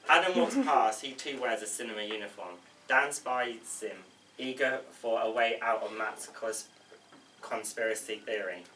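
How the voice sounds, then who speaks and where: A man's voice: lowish voice, normal speed, sharp tone. A man reading stage directions as scripted narration at a table read. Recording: echoey room, distant mic, faint noise.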